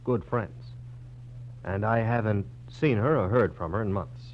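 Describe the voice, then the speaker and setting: A man's voice: fairly low pitch, measured pace, natural voice. An actor playing a polite but evasive, uneasy man in a 1940s radio drama, careful and hesitant. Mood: regretful, smooth. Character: evasive, polite male former lover, uneasy and defensive. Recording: muffled, noisy 1940s radio recording with hum.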